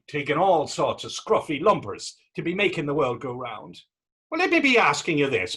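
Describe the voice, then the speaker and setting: Man acting a husky, swooping Irish taunter. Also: on the high side, sharp tone, exaggerated voice. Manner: mocking, needling, wordy old-fashioned dialect. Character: taunting, defiant Irish man needling a policeman. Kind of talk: readers theater stage acting.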